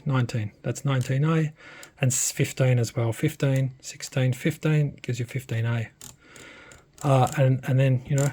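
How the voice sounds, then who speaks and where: A man's voice: a little high, darkish tone. A man giving a casual, unscripted technical demonstration in an informal, understated, somewhat hesitant way. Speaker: presenter demonstrating a modified radio.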